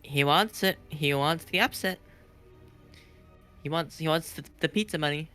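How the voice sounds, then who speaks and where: A woman from Southeast Asia with a deep voice, calling a game as co-caster in a dry, matter-of-fact way. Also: moderate pace, a bit bright. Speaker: guest co-caster. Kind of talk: live game commentary. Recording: commentary over game music.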